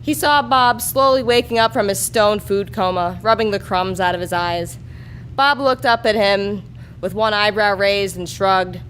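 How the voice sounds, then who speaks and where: A woman's voice: mid-range voice, a little slow, a bit bright, slight background noise, poor audio. A woman performing a live reading of a comic adult story as narrator. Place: live show before an audience.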